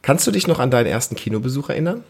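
A man around his thirties or forties hosting a casual family podcast, explaining things and gently prompting with questions. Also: medium pitch, big pitch swings, rapid-fire, gravelly, slightly rough. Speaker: podcast host, father interviewing his child.

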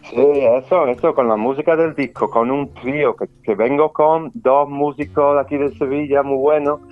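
A man from Scotland speaking Spanish as a second language, a flamenco guitarist being interviewed, who talks haltingly with many restarts and fillers. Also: mid-range voice, steady tone, phone-quality sound. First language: English. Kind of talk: casual interview. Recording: faint guitar music behind.

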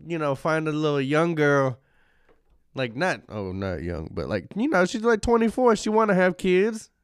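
A man's voice: on the high side, very animated, normal speed, clear, resonant tone, no echo. A man in his twenties co-hosting a podcast, talking in an easygoing, reflective way in a small studio. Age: 20s. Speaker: podcast co-host. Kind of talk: casual chat. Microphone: studio microphone.

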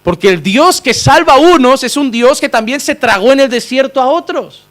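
Impassioned high-voiced male preacher. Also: expressive, normal speed. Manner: impassioned preaching, swings between shouting and low quiet asides. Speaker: pastor. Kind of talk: sermon.

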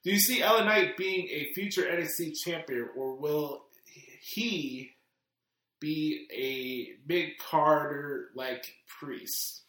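A man's voice: a little high, slow pace, pushed and bright. Echoey man hosting a podcast. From Chicago, United States. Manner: rambling, many fillers and restarts. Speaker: podcast host. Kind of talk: casual podcast Q&A. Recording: very echoey room.

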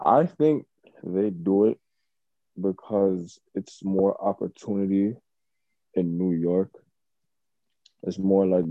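A man's voice: low-pitched, no echo, narrow, tinny audio. A man with a Jamaican accent, hosting his podcast and chatting casually with lots of fillers and restarts. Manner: relaxed, many fillers and restarts. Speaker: podcast host. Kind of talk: casual interview chat.

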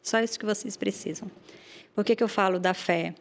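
A Brazilian woman chatting as a podcast guest, telling stories in a lively way with many restarts. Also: medium pitch, fairly quick, vocal fry. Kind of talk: casual chat.

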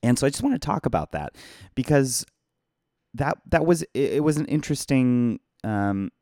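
A hoarse, tired man hosting a casual live-streamed podcast from his phone, rambling and chatty as he thanks viewers by name. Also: medium pitch, sing-song, moderate pace, dark tone, no room sound, studio quality. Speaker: podcast host.

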